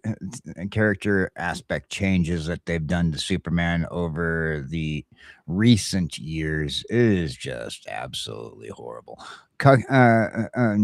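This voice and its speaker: Low voiced guy on a geeky morning show, blunt n crude opinions, halting, dry sound. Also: no room sound. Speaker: co-host of a geek culture morning chat show. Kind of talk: casual opinionated chat.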